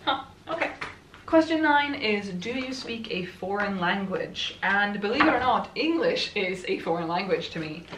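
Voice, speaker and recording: A woman's voice: medium pitch, lively intonation, moderate pace, noisy background. Woman, twenties, Swedish accent, chatty, rambling, echoey room, mic at a distance. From Sweden. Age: 20s. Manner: chatty, rambling, laughs often. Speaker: book vlogger. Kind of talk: casual chat while assembling furniture. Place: room at home.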